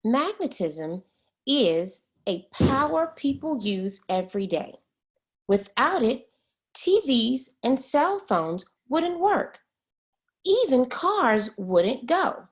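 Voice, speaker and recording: A woman reading a children's science book aloud as a teacher, expressively and pausing to explain words. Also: high voice, big pitch swings.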